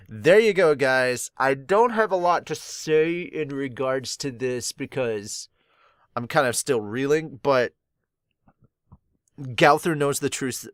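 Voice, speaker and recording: A man's voice: medium pitch, dry recording, clean studio sound. A young man hosting a podcast, chatting casually and rambling through a manga review, with many uh's and restarts. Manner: rambling, filler-heavy, chatty. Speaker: podcast host.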